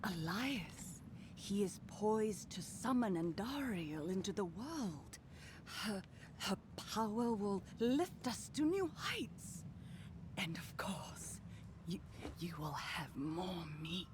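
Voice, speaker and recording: A woman playing a scheming, obsequious cult servant with grandiose delivery in a dark fantasy game. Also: mid-range voice, big pitch swings, slow, noisy background. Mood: flattering, zealous. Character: scheming female cult servant soothing a brutish master.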